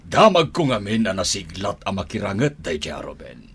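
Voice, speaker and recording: Stern crime-boss father, low husky voice, reproachful, Ilocano accent, radio drama. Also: very animated, moderate pace, fairly bright tone, exaggerated voice. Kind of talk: Philippine radio drama acting.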